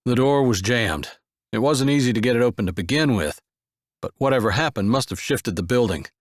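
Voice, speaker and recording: A man doing a steady dad, calm, reassuring, lively intonation, dry sound. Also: medium pitch, fairly quick, no echo, close to an everyday voice. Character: steady, calm father reassuring his kids.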